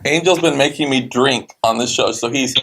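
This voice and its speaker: A man's voice: medium pitch, moderate pace, gravelly, fairly bright tone, slight background noise. A man hosting a TV talk show, chatting casually and rambling with lots of fillers. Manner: rambling, hesitant, enthusiastic. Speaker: show host. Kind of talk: casual chat. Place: TV studio.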